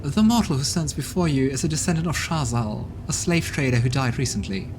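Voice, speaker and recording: A man's voice: mid-range voice, lively intonation, fairly quick, background noise, natural voice. A man voicing a cruel, theatrical demon judge in a video game reading, with a declamatory, contemptuous delivery. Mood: scornful. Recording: background game music.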